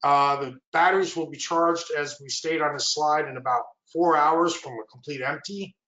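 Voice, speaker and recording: A man's voice: a little high, moderate pace, reverberant, highs cut off. A man giving a product presentation, walking through slides and asking for the next one. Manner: steady explanatory walkthrough, asks for the next slide.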